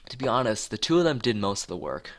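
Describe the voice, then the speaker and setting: A man's voice: on the low side, big pitch swings, fast. A man streaming a visual novel, reading it aloud and rambling hesitantly in casual asides. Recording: music playing behind the voice.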